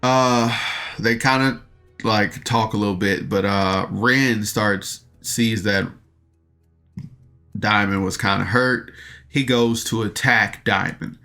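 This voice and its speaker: A man's voice: on the low side, steady tone, takes it slowly, pushed and bright, faint music behind. A man hosting a review podcast, casually recapping an audio drama in an informal, rambling way.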